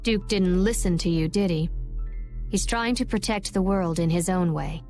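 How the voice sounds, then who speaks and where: A woman playing a cool, enigmatic messenger, measured and detached, in a video game cutscene. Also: fairly low pitch, a little mellow, faint music behind, lightly acted.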